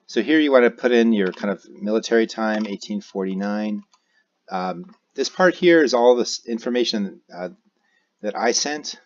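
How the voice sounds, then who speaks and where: An American man giving an unscripted software walkthrough, hesitantly thinking aloud as he goes. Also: fairly low pitch, highs cut off. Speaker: tutorial presenter, amateur radio operator. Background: keyboard typing and mouse clicks.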